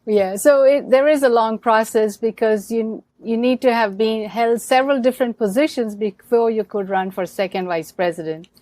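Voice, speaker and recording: A middle-aged woman from India, a podcast guest telling her story in an animated way and acting out the people she quotes. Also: on the high side, normal speed, few pauses, dry recording. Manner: animated storyteller who acts out quoted people, laughs often. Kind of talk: storytelling interview.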